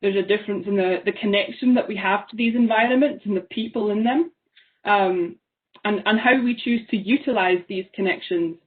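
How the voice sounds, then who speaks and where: A young Scottish woman presents thoughtfully from home, pausing often with ums. Her voice sits at an ordinary middle pitch. The sound is thin and narrow, like a laptop video call. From Isle of Lewis, Scotland. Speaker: seminar speaker. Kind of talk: online seminar presentation. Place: small room at home. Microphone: laptop webcam on a video call. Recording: tinny phone-like video call.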